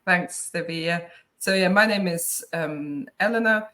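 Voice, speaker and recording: A woman's voice: lowish voice, unhurried, sharp tone. A woman in her thirties co-hosting a live online work talk over a video call, casual and chatty with frequent tag questions. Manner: casual, uses tag "right?" often. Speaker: head of client accounts, co-host. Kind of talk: webinar conversation.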